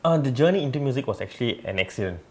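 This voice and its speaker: A man's voice: mid-range voice, expressive, very fast, a little mellow. A young man, a guest telling his story in a rambling way full of fillers. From Singapore. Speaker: interview guest, musician. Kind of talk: casual interview storytelling.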